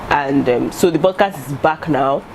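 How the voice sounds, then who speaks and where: A woman's voice: low-pitched, moderate pace, darkish tone, a little hiss or hum, rough recording. Chatty young Nigerian woman vlogging. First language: Yoruba. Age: young adult. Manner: chatty and candid, with frequent fillers and restarts. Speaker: YouTuber. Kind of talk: casual vlog talking to camera. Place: indoor room in front of a striped curtain. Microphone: camera in front at head-and-shoulders framing.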